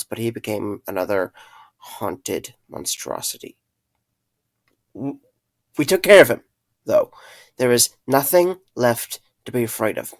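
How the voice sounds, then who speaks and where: A man acting an earnest, guilt-ridden man in an audio drama, with halting, serious delivery. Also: medium pitch, dry recording. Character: earnest, guilt-ridden man confessing dark secrets to a friend.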